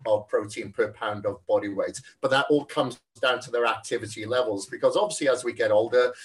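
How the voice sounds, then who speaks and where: A man's voice: mid-range voice, a little fast, distant mic. A Welsh man who is a fitness trainer, answering interview questions in a friendly, chatty way and laughing easily. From Wales.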